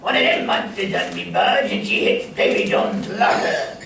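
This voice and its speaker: A man playing a gruff, good-natured cartoon sailor who mutters and stammers in salty talk, in an old-time radio comedy style. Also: high voice, flat delivery, moderate pace, music in the background. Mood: hearty, amazed. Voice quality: gravelly. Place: playhouse stage before a live audience. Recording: echoey hall from far off, faint noise.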